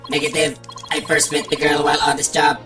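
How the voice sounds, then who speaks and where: A woman's voice: deep voice, flat delivery, brisk, echoey room, distant mic, close to an everyday voice. A woman voicing a terse male bomb expert with a robotic, clipped, unemotional delivery in a game fandub. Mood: flat, matter-of-fact. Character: terse male bomb expert talking through speech synthesizer. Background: prominent game music.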